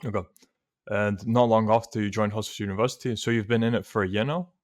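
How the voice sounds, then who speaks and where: Interviewer: man, somewhat low voice, structured and measured questioning. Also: fairly even intonation, darkish tone.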